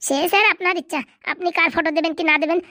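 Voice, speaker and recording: A woman voicing a cheeky, scheming young male cartoon sidekick who talks in eager, flattering colloquial Bengali-accented patter. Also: very high voice, racing, dry recording, clean studio sound. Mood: flattering, confident. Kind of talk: comedy cartoon dubbing.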